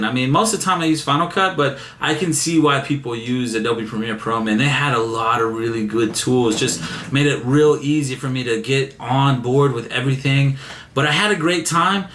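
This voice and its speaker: A young man vlogging casually, excited and repeating himself, his voice still a bit raspy from laryngitis. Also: mid-range voice. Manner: excited, repeats words, says man a lot. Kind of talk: casual vlog chat to camera. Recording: echoey room.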